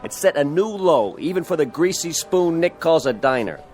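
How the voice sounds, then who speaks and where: An actor playing a brash male coworker who teases and boasts in a smooth-talking way. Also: a little high, expressive, normal speed, a little mellow, soft background music, lightly acted. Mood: cocky.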